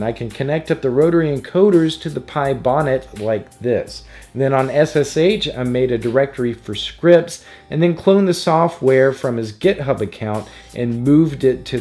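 A man's voice: medium pitch, darkish tone. A man hosting a tutorial, reading scripted narration in a casual, explanatory way. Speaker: tutorial host. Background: background music.

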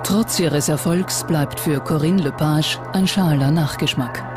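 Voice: medium pitch, a little slow. Documentary narrator over music. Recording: music bed under the voice.

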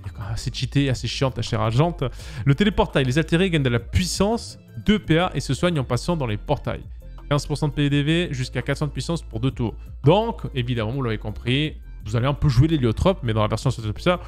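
A man in his thirties who grew up in Switzerland, casually talking viewers through game classes from a home streaming setup, with filler words and direct address. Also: mid-range voice. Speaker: gaming content creator. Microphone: studio mic on boom arm. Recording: faint background music.

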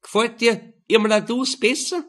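A man's voice: very high-pitched, moderate pace, a bit bright, pitched above the performer's own voice. A man voicing a brash, mocking middle-aged Viennese prostitute in an audiobook, blunt and teasing. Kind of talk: audiobook character voice.

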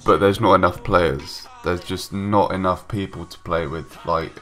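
A man's voice: low-pitched, a little slow, sharp tone, faint music behind. A young man with a stuffy nose from a cold, giving casual, rambling voice-over commentary with many ums and restarts. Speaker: gaming YouTuber. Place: home room, recorded separately from gameplay.

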